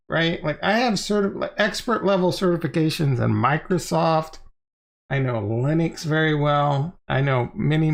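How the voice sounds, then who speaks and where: A middle-aged man with a slightly high-pitched voice talks off the cuff to his livestream viewers. His delivery is informal and slangy, and he often repeats words to drive a point home as he explains. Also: creaky. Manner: informal and conversational, slangy, repeats phrases for emphasis. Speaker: crypto channel host and livestreamer. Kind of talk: casual, unscripted livestream explainer.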